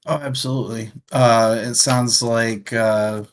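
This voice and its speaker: A man's voice: medium pitch, fairly even intonation, measured pace, slight background noise. A man hosting a casual livestream book discussion, searching for words as he goes. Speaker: show host, reads viewer comments.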